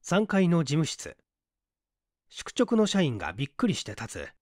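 A man's voice: on the high side, huge pitch swings, moderate pace, no echo, studio quality. A man narrating a literary mystery story as a dramatised audiobook reading. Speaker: audiobook reader, small-theatre stage actor.

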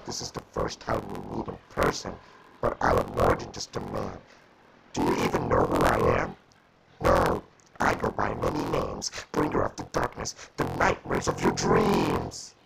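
Heavy noise surrounds a cavernously low, crackling growl. It is a boastful demon villain, grandiose and full of threat, swinging in pitch as he delivers his menace. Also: huge pitch swings, normal speed, creaky, heavily acted. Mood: grandiose, menacing. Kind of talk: amateur voice lines read from a script. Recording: loud noise and clicks, crude home recording.

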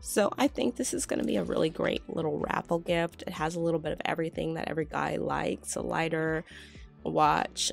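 A woman's voice: low-pitched, vocal fry. A middle-aged woman with a cold and a congested voice, chatting through a casual step-by-step gift-basket tutorial at home. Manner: chatty, explains step by step with fillers. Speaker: couponing vlogger. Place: home, filming a tabletop close-up.

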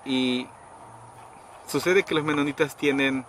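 A middle-aged man casually telling a story outdoors, acting out quoted people with sudden high pitched voices. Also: steady tone, a little slow, a bit bright. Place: outdoors under trees in a rural yard. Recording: outdoor noise in background.

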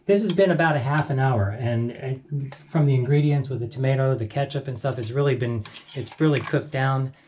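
A man's voice: mid-range voice, a little mellow. A man in his sixties hosting a casual home cooking vlog, chatting and correcting himself as he narrates each step in a small kitchen. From United States. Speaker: home cooking show host. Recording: noisy home kitchen with frying sizzle. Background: frying and sizzling.

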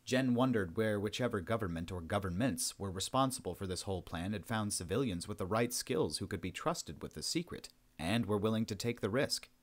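A man's voice: fairly low pitch, animated, a little fast, hardly any pauses. A man reading a science fiction story aloud as a scripted narration. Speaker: audiobook narrator.

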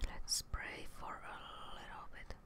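A young woman whispering ASMR gameplay commentary in a breathy, unvoiced hush, murmuring her thoughts in short fragments close to the microphone. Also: unhurried. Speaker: gaming streamer. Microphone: close studio microphone with headset headphones, indoors.

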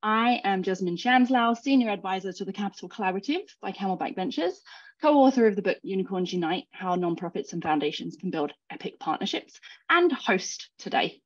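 A woman hosts an interview through a headset on a video call. Her voice sits at an average pitch, and the audio sounds a little muffled. She reads her introductions and then slips into relaxed questions. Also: fairly quick. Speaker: host.

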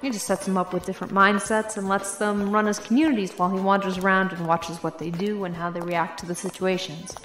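A woman's voice: mid-range voice, steady tone, a little fast, few pauses, fairly bright tone, faint music behind, natural voice. A woman playing a candid, chatty young graduate student in an audio drama. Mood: explaining. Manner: conversational, candid.

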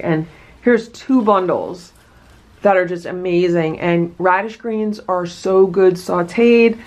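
A woman in casual vlog talk, chatty and enthusiastic as she unboxes a farm box at home. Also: on the low side. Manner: enthusiastic, chatty, many asides. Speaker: vlogger unboxing a produce box.

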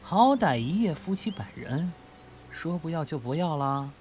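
A man's voice: a little high, very animated, measured pace, darkish tone, slight background noise. A man playing a crude, menacing, mocking gangster kidnapper in an audio drama, taunting and foul-mouthed. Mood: sneering.

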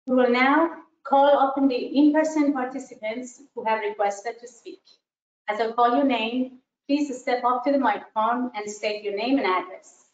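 A woman's voice: on the high side, moderate pace. A woman moderating a public hearing, calling speakers up and reading the rules. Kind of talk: announcing speakers. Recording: distant, roomy, muffled hall mic.

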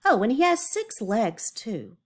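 Slow, animated woman reading aloud to children. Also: a little high, breathy edge. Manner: deliberate, emphatic, questioning, as to small children. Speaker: teacher reading a picture book aloud to young children. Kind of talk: expressive read-aloud with teaching asides and questions to young children.

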